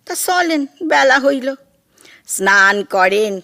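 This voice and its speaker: High-pitched, half-mad East Bengali maid. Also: female voice, expressive, slow, vocal fry, pushed and bright, full character voice. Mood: menacing, coaxing. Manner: coaxing, scolding, rustic, emphatic. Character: bossy, volatile, half-mad female domestic attendant. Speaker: actor in audio drama. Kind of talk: comic Bengali audio drama acting.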